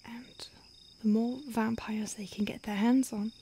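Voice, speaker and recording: A woman playing an ancient, secretive vampire in a whispered roleplay ASMR, hushed and halting with deliberate pauses. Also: on the high side, fairly even intonation. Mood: grave, confiding. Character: ancient female vampire, secretive, urgent, weary, protective. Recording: faint hiss, outdoor night insects.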